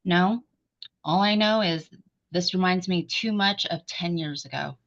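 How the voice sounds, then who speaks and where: In dull, lo-fi audio, an actress reads as a worn, protective mother in a horror drama. Her voice sits slightly low and she sounds anxious over her child. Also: unhurried. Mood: worried, subdued. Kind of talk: script table read of a horror film.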